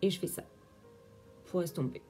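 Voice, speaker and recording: Mellow-voiced woman giving a makeup tutorial. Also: moderate pace, faint music behind. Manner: chatty, instructive, explains steps as she works. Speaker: beauty vlogger.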